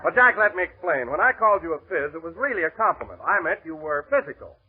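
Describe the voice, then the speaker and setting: High-pitched, hearty American radio announcer. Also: male voice, big pitch swings, fast. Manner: hearty, emphatic. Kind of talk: 1930s radio announcing and comic banter. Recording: muffled, tinny 1930s radio recording.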